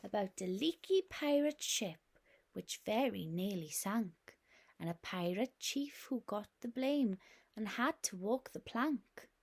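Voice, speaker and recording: A nursery teacher reading a picture book aloud to young children in a gentle, expressive way. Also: female voice, medium pitch, takes it slowly.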